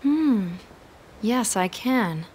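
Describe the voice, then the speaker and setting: Poised young noblewoman, formal and measured. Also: a little high, animated, a little mellow, a little hiss or hum. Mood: soft, devoted. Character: poised, dutiful young noblewoman of ancient China. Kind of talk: dubbed video game cutscene acting.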